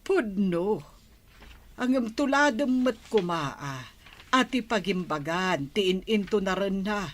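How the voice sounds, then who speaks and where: A woman's voice: medium pitch, animated, slow. Woman acting a scolding, swooping older mother. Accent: Filipino. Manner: nagging, admonishing. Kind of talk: Ilocano radio drama acting.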